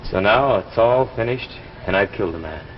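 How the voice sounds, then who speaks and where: A man's voice: fairly low pitch, lively intonation, a little hiss or hum, natural voice. Guy playing nervous guilty husband, old radio drama, stammery, swings up and down, muffled old recording w music. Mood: troubled. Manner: halting, repeats himself. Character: anxious husband, guilt-ridden man sent on a secret mission. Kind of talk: 1950s radio drama acting.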